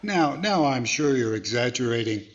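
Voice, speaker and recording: A man playing a patient, gently chiding straight man with a polite, probing manner, in 1940s radio comedy style. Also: medium pitch, animated, normal speed, lo-fi sound, natural voice. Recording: noticeable background noise.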